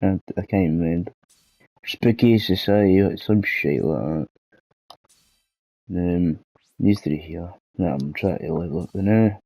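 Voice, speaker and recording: Low gravelly Scottish man with a cold. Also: measured pace, pauses often, dark tone, no echo, low-quality recording. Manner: rambling, sweary, self-deprecating. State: hoarse with a cold. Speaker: gaming channel host. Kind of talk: casual gaming vlog chat.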